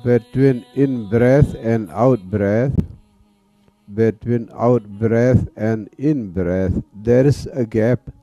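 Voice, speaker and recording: Very dark, slow-voiced man guiding meditation. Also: medium pitch, dry recording. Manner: calm, with long pauses. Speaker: meditation teacher. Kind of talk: guided meditation instruction. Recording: dull, lo-fi sound. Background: soft music.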